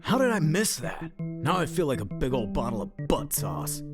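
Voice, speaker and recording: A man's voice: on the high side, very animated, moderate pace. Guy doing goofy crude pizza cook in a radio comedy, hammy, voice all over the place, music under it. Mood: jokey, eager. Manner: clownish, theatrical, swooping. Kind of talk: comedic radio drama acting.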